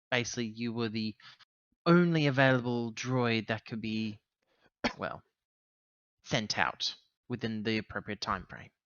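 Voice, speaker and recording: A man with a sharp, bright voice plays through a tabletop roleplaying scene. He talks in halting, improvised phrases, feeling his way through sentences. The sound is very dry and a little dull. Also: medium pitch, dry recording. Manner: halting, hesitant phrasing with pauses. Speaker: tabletop roleplaying game player. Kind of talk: improvised in-character roleplay talk.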